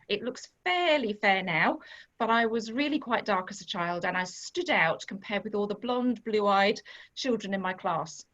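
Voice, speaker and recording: A woman hosting a conversation, sharing her own experience reflectively and gently guiding her guests. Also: mid-range voice, rough recording. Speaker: host. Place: church recording.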